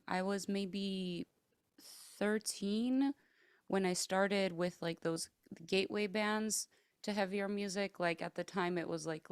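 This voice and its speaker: A woman's voice: medium pitch, clear, resonant tone, no echo, clean studio sound. A woman who sings metal, guest in a casual interview, talking in a chatty way with many fillers and easy laughs. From Greece and the United States.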